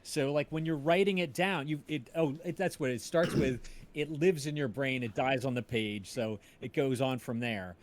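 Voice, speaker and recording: Male podcast creator, mid-pitched, halting delivery in a relaxed remote panel chat. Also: slight background noise. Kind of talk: casual panel discussion. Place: remote video call.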